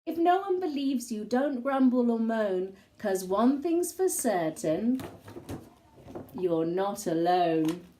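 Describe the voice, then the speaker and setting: A woman narrates a children's storybook in a high, soft-toned voice. She takes her time, shaping each phrase expressively with dramatic pauses. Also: very animated, slight background noise. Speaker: storybook reader.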